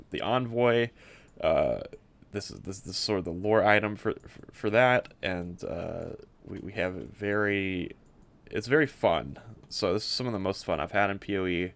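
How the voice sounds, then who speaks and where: A man presenting a game build in a casual, unscripted way, rambling and halting as he often repeats words. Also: lowish voice, a bit bright.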